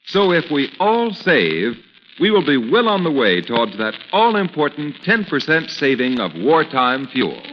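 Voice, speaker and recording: A man's voice: on the high side, big pitch swings, measured pace. A man reading a script as a 1940s radio announcer, with emphatic, declamatory delivery. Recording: muffled, noisy wartime radio recording.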